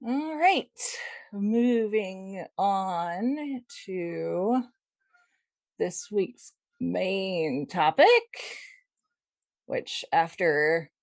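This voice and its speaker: A woman's voice: mid-range voice, extremely slow, a little mellow, no room sound. A woman hosting a podcast in a casual, conversational style. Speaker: podcast host. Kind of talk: casual discussion.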